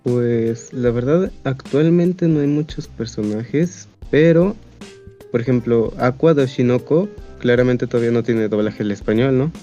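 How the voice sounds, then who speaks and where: Young man, Mexican accent, mellow timbre, casual interviewee, dull recording, faint background music. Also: medium pitch, measured pace. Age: young adult. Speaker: interview guest, amateur fandub voice actor.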